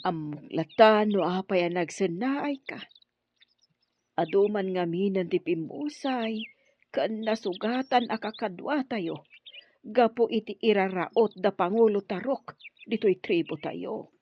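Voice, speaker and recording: Chief's wife actress, radio drama: caring, slightly lo-fi sound with background noise. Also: female voice, medium pitch, unhurried, natural voice. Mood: concerned. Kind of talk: Ilocano radio drama acting. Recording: dull, noisy radio drama recording.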